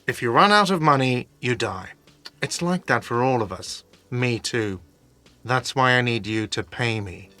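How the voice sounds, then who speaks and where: An actor playing an exasperated, sarcastic hostel manager in a video game, with an irritated, condescending way of talking. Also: male voice, mid-range voice, expressive, a little slow, sharp tone. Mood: condescending, weary.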